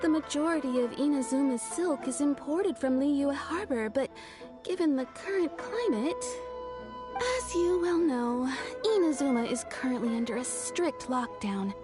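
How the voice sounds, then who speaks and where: A woman's voice: high voice, animated, measured pace. A woman playing a polite, deferential kimono shopkeeper in a video game, speaking formally. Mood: polite, regretful. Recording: game music behind the voice.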